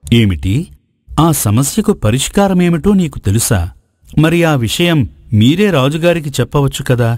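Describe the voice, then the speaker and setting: A man's voice: fairly high pitch, animated, normal speed, darkish tone, no echo, studio quality. A man playing a greedy, courtly ascetic sage in a moral folk tale, with formal, theatrical phrasing. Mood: curious, gracious. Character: flattering, greedy male ascetic sage, courtly and self-serving.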